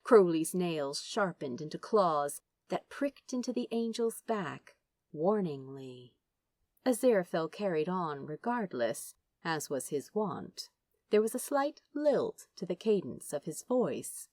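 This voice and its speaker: A woman reading fiction aloud as an expressive audiobook narrator. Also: on the low side, measured pace, no echo, clean studio sound.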